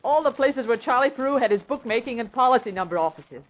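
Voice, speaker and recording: A woman's voice: fairly high pitch, animated, quick pace. A woman playing an earnest, bashful young office secretary in an old radio drama. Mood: excited. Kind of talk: 1940s-50s radio drama acting. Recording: dull noisy vintage radio recording.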